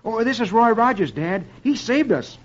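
A man's voice: extremely high pitch, lively intonation, moderate pace, pitched above the performer's own voice. A man voicing an earnest, exclaiming small boy in an old radio comic-strip reading. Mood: eager. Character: excitable young cowboy's son, small boy. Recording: muffled old radio broadcast with music.

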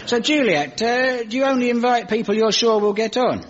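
A man's voice: very high voice, normal speed, highs cut off, crowd noise. A man with a nasal, mumbling voice hosting a comedy show, delivering a wry, sardonic scripted monologue.

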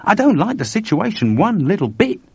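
A man voicing an urgent, excitable and worried male computer engineer in an audiobook story, speaking colloquially. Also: high voice, normal speed, gravelly, breathy edge, no room sound, pitched above the performer's own voice, heavily acted. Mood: worried, pressing. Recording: dull lo-fi dry recording.

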